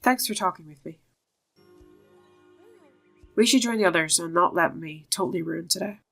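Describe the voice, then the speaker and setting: A woman's voice: fairly low pitch, normal speed, gravelly, a bit bright, soft background music. A woman voicing earnest young female riders from a fantasy horse game, expressively reading their dialogue. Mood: troubled, earnest.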